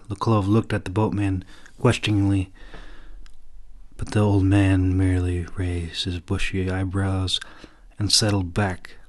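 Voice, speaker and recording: A man reading scripted fantasy narration as the author of an audiobook. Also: low-pitched.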